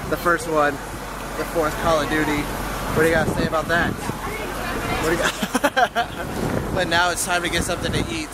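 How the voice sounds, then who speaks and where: A man's voice: fairly high pitch, fairly even intonation, moderate pace, pauses often, over a noisy crowd, heavy background noise. A young man in his twenties talks casually to a handheld camera for his daily vlog, rambling and laughing often. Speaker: vlogger. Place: outdoors in city streets and parking lots, also in a car and at home. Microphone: handheld camera at arm's length.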